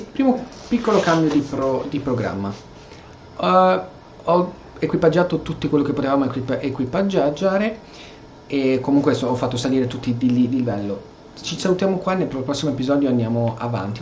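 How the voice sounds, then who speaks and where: A man's voice: mid-range voice, expressive, quick pace, darkish tone, a little hiss or hum. A man with an Italian accent doing casual, informal gameplay commentary as a video host. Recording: dull, roomy sound, music behind.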